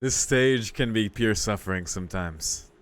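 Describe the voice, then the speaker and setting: A man's voice: fairly low pitch, unhurried, no room sound. Exclamatory man commentating on a game. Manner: exclamatory, mock-exasperated reactions to the game. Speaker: gaming video host. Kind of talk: casual gameplay commentary.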